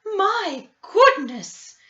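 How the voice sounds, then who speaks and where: A woman voicing a kind, sweet, plump old woman in a storybook, bright and gentle in manner. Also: very high voice, big pitch swings, extremely slow, dull audio, pitched above the performer's own voice, exaggerated voice. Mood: concerned.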